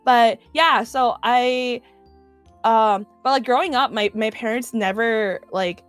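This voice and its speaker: A woman's voice: a little high, slow pace, smooth, soft background music. A young woman chatting casually, rambling with lots of "like". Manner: rambling, many fillers and restarts. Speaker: vtuber. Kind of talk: casual chat.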